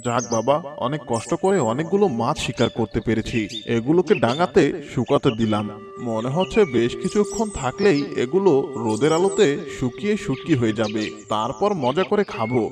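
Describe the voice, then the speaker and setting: Crocodile, cartoon male actor: curious, chatty, easily impressed, animated mid-range delivery, music behind. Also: mid-range voice, a little fast, lightly acted. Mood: eager. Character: curious, gullible male crocodile, eager for tasty food. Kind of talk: Bengali children's cartoon voice acting. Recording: background music with birdsong.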